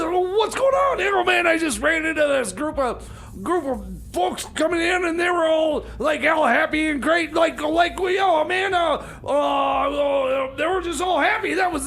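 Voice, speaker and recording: Manic radio sidekick, male performer, loud and crackly, rambling and tripping over words in improvised banter. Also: very high-pitched, a little slow, creaky, heavily acted. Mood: excited. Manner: stammering, rambling, over-excited. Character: excitable, scatterbrained time-traveling radio co-host.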